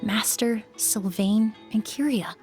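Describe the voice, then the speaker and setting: A woman plays a composed adult perfumer in a game, her voice sitting at an ordinary middle pitch. She speaks in a measured, calm and thoughtful way. Soft music plays faintly behind her. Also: lightly acted. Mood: calm, reflective. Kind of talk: game voice acting.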